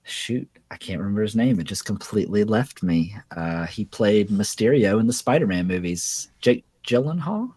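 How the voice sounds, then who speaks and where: Slightly raspy, lowish male podcast voice. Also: expressive, normal speed, few pauses, mellow, dry recording. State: under the weather. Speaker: podcast co-host. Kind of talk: casual chat.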